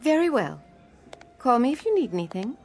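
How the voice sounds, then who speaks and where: Courteous nurse, singsong swings. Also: female voice, fairly high pitch, big pitch swings, brisk, a little mellow. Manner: solicitous, formal. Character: bright, courteous, eager female nurse. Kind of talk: radio drama acting.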